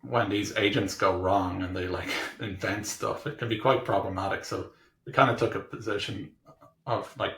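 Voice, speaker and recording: A man answers interview questions in a low voice with a strong gravelly creak. He explains casually and haltingly, restarting and thinking aloud. The room around him rings with noticeable echo. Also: steady tone, a bit bright. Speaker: technology executive being interviewed. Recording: roomy, echoing room sound.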